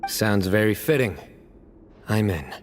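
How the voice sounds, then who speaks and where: Low-voiced, stern young challenger. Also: male voice, expressive, unhurried, slight background noise. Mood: resolute. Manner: terse, firm, serious. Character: stern, resolute young male challenger, direct and principled. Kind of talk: video game character acting. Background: music.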